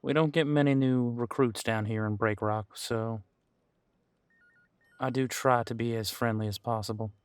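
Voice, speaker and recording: A man's voice: medium pitch, fairly even intonation, moderate pace, clear, resonant tone, no room sound, lightly acted. Man playing a folksy veteran cop, dry close sound. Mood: cordial, chatty. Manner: easygoing, folksy, hesitant, chatty. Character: friendly, folksy, long-serving supernatural squad cop, older than he looks. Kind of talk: conversational audio roleplay to an unseen partner.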